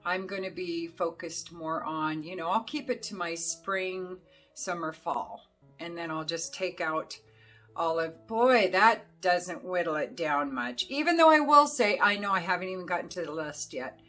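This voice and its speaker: A middle-aged woman with a hoarse voice, chatting casually as she reviews perfumes, rambling along with plenty of fillers. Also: lowish voice, lively intonation, a little slow, fairly bright tone, faint music behind. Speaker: fragrance reviewer. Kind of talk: casual chat to camera.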